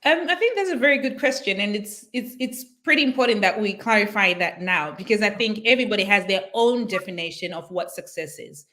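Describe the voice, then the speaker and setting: A woman, a parenting coach speaking as a guest on a live online interview over a video call, enthusiastic and quick to laugh. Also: mid-range voice, lively intonation. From Zimbabwe. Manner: warm and enthusiastic, laughs easily.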